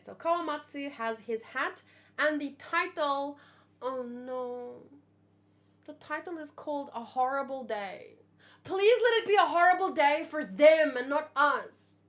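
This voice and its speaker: Slow-paced, higher-voiced woman chatting casually. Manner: expressive, thinking aloud, chatty. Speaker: manga read-along video host. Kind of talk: casual reaction commentary with read-aloud dialogue.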